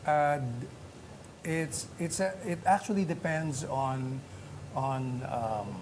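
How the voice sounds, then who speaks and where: An older man answering interview questions, pausing often and searching for words. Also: fairly high pitch, a little mellow. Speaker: voice artist guest, father. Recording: noisy studio recording.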